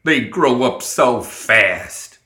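Blustering gremlin patriarch played by a man, raised pitch, loud folksy holler, proud fatherly swagger, roomy recording. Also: lively intonation, normal speed. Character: blustering, jowly male gremlin clan boss, proud father.

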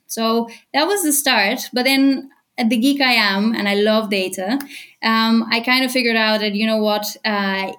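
Woman guest from bulgaria, higher pitched, lively and chatty on a podcast call. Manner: lively, chatty, laughs often. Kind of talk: casual interview chat.

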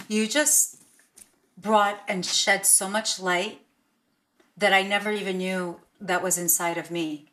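Woman interviewer, earnest, encouraging, bright forceful tone. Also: mid-range voice, poor audio. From United States. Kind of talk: interview conversation.

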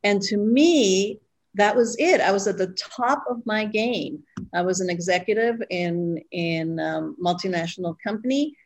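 A middle-aged woman guest, born in Costa Rica, telling her story in an interview with many pauses and fillers. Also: mid-range voice. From Costa Rica, raised abroad. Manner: reflective, pausing with fillers. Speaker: guest, retreat owner.

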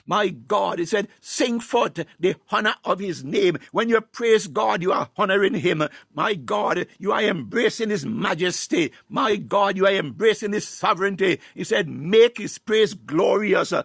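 High-pitched, husky male preacher. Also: lively intonation, unhurried, fairly bright tone, dry recording, studio quality. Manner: exclamatory, repetitive, breaking into praise interjections. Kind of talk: fervent charismatic sermon with scripture quotation and prayer.

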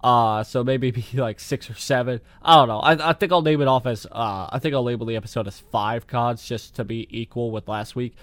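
A man's voice: mid-range voice, steady tone, a little fast, hardly any pauses, no echo. Young male podcast host, loose rambly delivery full of fillers, voice fading from a cold, dry close sound. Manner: rambling, heavy use of filler "like". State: losing his voice, getting sick. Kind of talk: solo casual podcast monologue.

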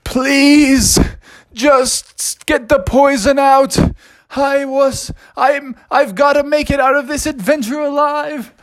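Shrill, melodramatic wailing raccoon. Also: steady tone, takes it slowly, dry recording. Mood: pleading. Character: melodramatic, whiny male raccoon, panicky victim.

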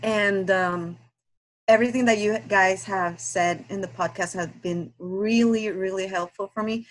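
A woman from Guatemala, a guest on a book podcast, speaking in a hesitant, earnest way with many pauses and fillers. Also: mid-range voice, fairly even intonation, a little hiss or hum, poor audio. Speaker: guest listener and aspiring romance author. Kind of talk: casual podcast chat.

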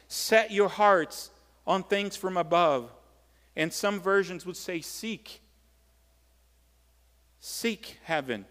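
A male pastor with a Brazilian accent speaks in a noticeably high-pitched voice, with long reverb from the hall around him. His sermon is conversational and animated, built on personal storytelling in English as a second language. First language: Portuguese. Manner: animated storytelling, acts out short exchanges.

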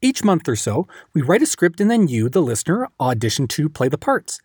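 A man's voice: on the high side, sing-song, fairly quick, darkish tone, slightly rough, no room sound. Casual upbeat guy doing credits, dry close sound. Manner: upbeat, informal. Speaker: producer and host. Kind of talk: friendly outro announcement.

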